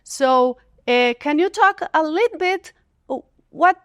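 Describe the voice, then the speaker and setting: A Brazilian-accented woman hosts the conversation in English, asking questions and affirming her guest's answers often in a friendly way. Her voice is pitched somewhat high. The recording is dry and close, with no room sound. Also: lively intonation, slow pace, dry recording, dull audio. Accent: Brazilian Portuguese. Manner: friendly, encouraging, frequent affirmations. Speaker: professor hosting the videocast. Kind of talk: interview host.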